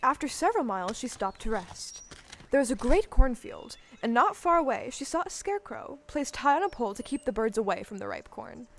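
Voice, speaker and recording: A woman narrating a storybook tale in a scripted, measured way for an audio play performed on stage. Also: a little high, sing-song, fairly bright tone, slight background noise. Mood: storytelling.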